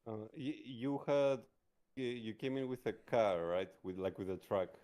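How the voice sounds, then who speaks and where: A man's voice: fairly low pitch, normal speed, mellow. A man chatting casually in character as a player in a tabletop roleplaying game.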